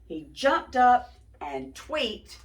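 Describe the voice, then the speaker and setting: A woman's voice: on the high side, sing-song, very slow. A woman reading a story aloud to young children as a host, stopping in a teacherly way to prompt them with simple questions.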